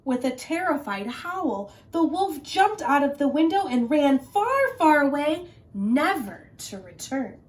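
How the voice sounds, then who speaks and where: A woman teacher reading a children's story aloud in an animated narrating style. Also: sits high, unhurried, few pauses, distant mic.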